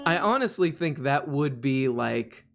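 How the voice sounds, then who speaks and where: A man's voice: medium pitch, animated, unhurried, gravelly, loud music behind the voice. A man from Florida hosting a livestream, chatting casually in a rambling, self-mocking way full of fillers and restarts. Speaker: livestream host. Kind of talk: casual chat.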